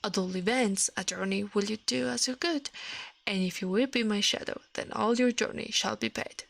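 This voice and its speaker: A woman's voice: mid-range voice, moderate pace, vocal fry, a bit bright, no room sound. A woman voicing a man's living shadow in an ASMR storybook reading, pompous, smug and condescending. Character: man's shadow come alive, smug, condescending, self-important master.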